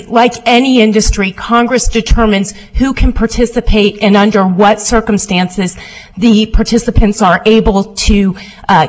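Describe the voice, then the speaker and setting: A woman lawyer giving a formal oral argument before appeals judges, loud and steady as she answers questions. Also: fairly high pitch, rough recording. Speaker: appellate lawyer.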